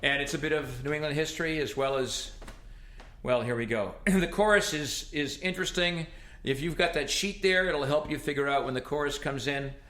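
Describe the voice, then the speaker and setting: A man's voice: fairly high pitch, moderate pace, bright, forceful tone. A man who sings folk songs, guesting on a podcast and chatting in a playful, joking way. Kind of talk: casual chat.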